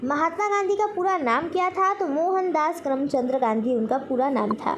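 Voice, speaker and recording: Indian lady teacher, really high voice, doing question and answer exam lesson, bit of background noise. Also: expressive, moderate pace. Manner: instructional, asks each question then answers it, repeats key points. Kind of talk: general knowledge quiz lesson for exam students.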